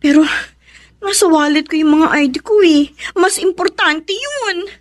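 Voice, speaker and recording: A woman's voice: extremely high pitch, a little slow. A woman playing a young, practical but plaintive commuter in a Filipino radio drama. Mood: rueful, annoyed.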